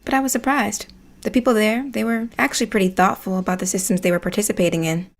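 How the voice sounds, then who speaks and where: An actress playing a sardonic, opinionated neighbor in an audio play, narrating events in a conversational, pointed way. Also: female voice, mid-range voice, steady tone, quick pace, natural voice. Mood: wry, candid. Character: opinionated, sardonic woman, socially conscious neighbor. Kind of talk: storytelling monologue in an audio play.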